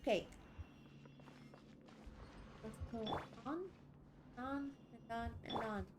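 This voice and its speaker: A woman's voice: on the high side, slow. A young woman, chatting playfully and exclaiming as she live-streams a game. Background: game music.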